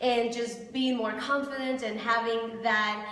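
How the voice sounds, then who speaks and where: Woman, highish voice, effusive motivational chat, heavily reverberant room. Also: steady tone, unhurried, fairly bright tone. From Colombia. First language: Spanish. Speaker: interview guest, pageant director. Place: echoey indoor clothing shop. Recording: very echoey hall-like room.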